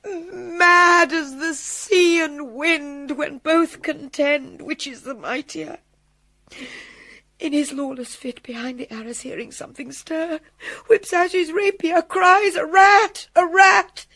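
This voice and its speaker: An actress playing a distraught queen and mother with a British accent, measured and intensely emotional in classical radio drama style. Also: extremely high pitch, steady tone, bright, forceful tone, exaggerated voice.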